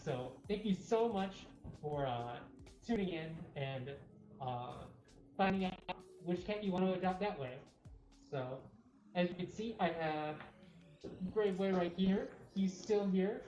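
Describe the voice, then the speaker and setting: Lower-voiced woman in an echoey room. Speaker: cat cafe host. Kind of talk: casual livestream walkthrough. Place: indoor cat cafe room. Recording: roomy echo, faint music behind. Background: faint music.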